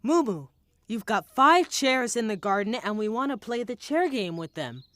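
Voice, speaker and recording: Curious, animated young cartoon kid. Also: female voice, a little high, moderate pace, no echo, studio quality. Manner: curious, expressive, simple phrasing. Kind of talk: animated kids' cartoon dialogue.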